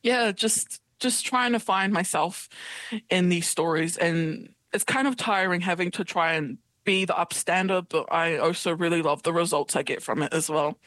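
A young woman with a New Zealand accent, from South Auckland, talking earnestly as an interview guest, reflective and halting with frequent restarts. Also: fairly low pitch, a bit bright, no room sound. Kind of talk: casual conversational interview.

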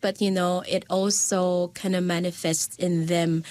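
A woman's voice: fairly low pitch, little pitch movement, a little slow, darkish tone, no room sound. A woman from the Philippines, a designer and lecturer answering interview questions in an earnest, searching way full of fillers and repeats.

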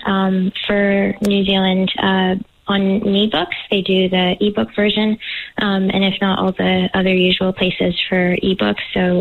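A woman from Montreal, an author being interviewed by phone, talking casually with many hesitant ums. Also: medium pitch. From Montreal, Canada. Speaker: author guest. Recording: tinny phone line.

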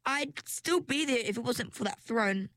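A bold young mermaid girl who barks orders in a sharp, high voice , as a woman puts her on. Her commands come out clipped and bossy. The storybook audio is dry and close. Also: expressive, a little slow, dry recording. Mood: urgent.

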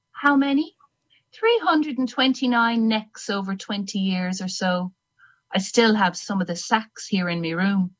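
A woman's voice: medium pitch, fairly bright tone. A woman voicing an older Irish woman executioner who speaks in a plain, dry, matter-of-fact way, with an Irish accent. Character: old Irish woman hangman, grim and unrepentant.

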